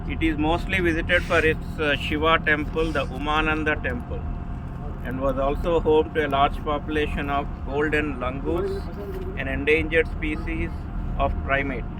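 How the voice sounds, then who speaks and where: Man, very slow measured reading, slightly high voice, informational narration, noisy boat outdoors. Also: little pitch movement. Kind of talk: scripted informational narration, read out. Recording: clear engine and outdoor noise.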